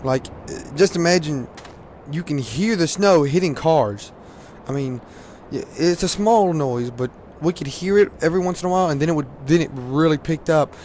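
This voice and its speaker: Hoarse teen gamer, loose rambling delivery, faint noise floor. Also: male voice, a little high, normal speed, darkish tone. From Louisiana, US. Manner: rambling, laughs often, many fillers. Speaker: gaming commentator. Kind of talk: casual gaming commentary.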